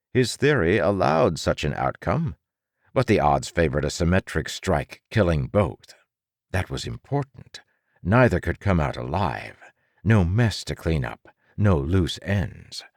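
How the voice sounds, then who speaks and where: A man narrating fiction for an audiobook in a dramatic, measured manner. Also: low voice, vocal fry, no echo, studio quality.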